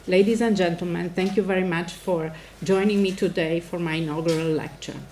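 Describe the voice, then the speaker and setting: Romanian-accented woman lecturing, clear background noise. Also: on the low side, steady tone, brisk, a little mellow. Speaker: professor giving a lecture. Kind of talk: formal academic public address. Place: indoor lecture event before an audience.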